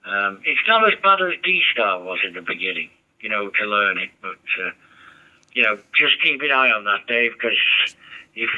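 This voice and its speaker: A man's voice: on the low side, big pitch swings, normal speed. A man chatting over a ham radio, rambling and giving advice. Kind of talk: casual radio chat. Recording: dull muffled radio audio.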